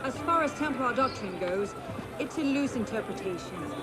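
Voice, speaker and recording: A woman's voice: on the high side, animated, moderate pace, over a noisy crowd, close to an everyday voice. An actress playing a proud, determined young noblewoman in a dramatic video game cutscene, speaking in a firm, challenging way. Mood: serious. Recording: loud music over the dialogue. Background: loud music.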